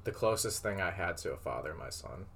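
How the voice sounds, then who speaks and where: A grave, moody warrior king from a fantasy storybook, read by a man. His voice is on the low side, with a solemn, halting intensity befitting a father of few words. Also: expressive, vocal fry, a bit bright, full character voice. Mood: raw grief.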